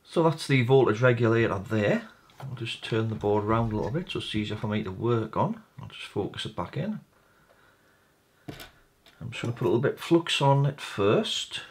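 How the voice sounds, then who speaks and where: A man's voice: medium pitch, expressive, moderate pace. A man giving a casual hands-on repair walkthrough, informally explaining each step and thinking aloud. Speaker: hobbyist electronics repair presenter.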